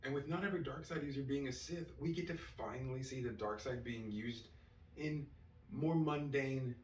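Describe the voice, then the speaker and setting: A man giving an enthusiastic fan review as a solo host, excited and emphatic, drawing out key words. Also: mid-range voice, normal speed. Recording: roomy, distant mic with faint noise.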